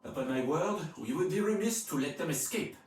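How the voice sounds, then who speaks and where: An actor playing a dutiful medieval knight who speaks in a formal, courtly manner in a game cutscene. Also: male voice, on the high side, expressive, a little fast, darkish tone, natural voice. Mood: earnest, regretful. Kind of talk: video game cutscene acting. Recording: very echoey, distant from mic.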